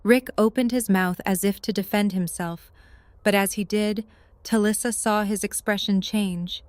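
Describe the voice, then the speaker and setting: A woman's voice: medium pitch, steady tone, unhurried, dry recording. A woman reading scripted story narration for an audio drama.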